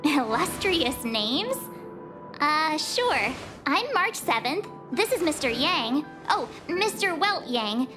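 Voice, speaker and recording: Spirited teen girl adventurer for a game: extremely high, lively, chirpy, informal. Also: takes it slowly, over music, heavily acted. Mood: flustered, friendly. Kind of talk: video game voice acting.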